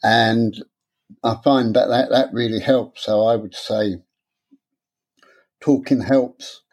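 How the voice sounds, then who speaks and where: Soft, halting man with a stammer. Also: mid-range voice, fairly even intonation. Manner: halting, repeats words, soft-spoken, candid. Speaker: interviewee. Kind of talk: personal interview.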